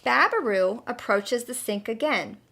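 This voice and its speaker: A woman's voice: fairly high pitch, animated, a little slow, creaky, pushed and bright. A woman narrating a children's story expressively, speaking directly to young listeners as a storyteller. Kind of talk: animated scripted story narration.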